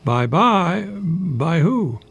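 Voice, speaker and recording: An older man hosting a radio monologue, speaking haltingly in a whimsical, self-deprecating way with a husky, gravelly voice. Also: a little high, very animated, a bit bright. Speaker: radio host, retired professor. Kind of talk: rambling humorous radio monologue with poetry readings.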